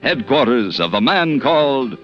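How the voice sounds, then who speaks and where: Slow, dramatically swooping male radio announcer. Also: medium pitch, big pitch swings, lo-fi sound. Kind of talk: dramatic 1950s radio show introduction. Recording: band-limited old radio, loud music behind.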